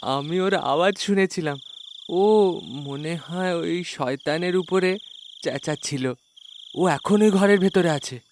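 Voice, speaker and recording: A man's voice: fairly high pitch, takes it slowly, pauses often, slight background noise. A man voicing an arrogant but cowardly village husband in a cartoon story, blustering and scolding. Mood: scolding, anxious. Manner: blustering, scolding, pleading when scared. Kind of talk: children's moral-story cartoon acting.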